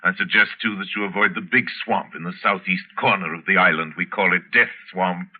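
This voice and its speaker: An actor playing a menacing aristocratic general with urbane, mockingly courteous delivery in 1940s radio drama style. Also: male voice, low-pitched, fairly even intonation, fairly quick. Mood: cool, courteous. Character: suave, menacing aristocratic general who hunts men for sport. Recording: muffled, narrow-band vintage 1940s radio recording.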